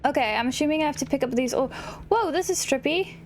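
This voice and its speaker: A woman hosting a gaming video, chatting casually and slowly as she reacts to the game aloud. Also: sits high, expressive, slight background noise. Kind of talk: casual let's play commentary with dialogue read aloud.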